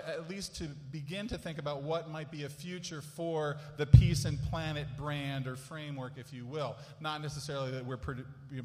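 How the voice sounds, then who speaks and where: A man moderating a panel, speaking in a relaxed, self-deprecating way. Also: mid-range voice. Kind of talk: casual, joking introduction. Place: large hall. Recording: echoey hall.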